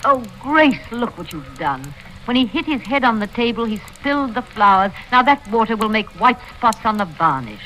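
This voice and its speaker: An actress in a vintage radio drama playing a prim, unflappable, fussy spinster with a genteel, matter-of-fact way of speaking. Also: female voice, fairly high pitch, sing-song, normal speed, pushed and bright, slight background noise, rough recording. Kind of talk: 1940s-50s radio drama acting, first-person narration. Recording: vintage radio recording with music.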